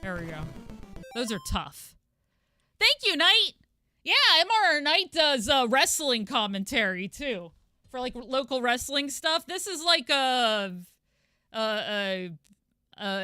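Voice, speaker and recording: A young woman streamer with a husky voice, chatting casually with viewers while gaming and thanking them, with frequent fillers. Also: on the high side, bright, forceful tone, no room sound, studio quality.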